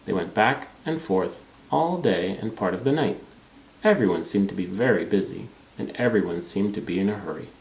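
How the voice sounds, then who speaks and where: A man's voice: low-pitched, animated, moderate pace, vocal fry, a little mellow. A man reading a children's storybook aloud as narrator. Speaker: storybook reader. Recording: roomy, echoing room sound.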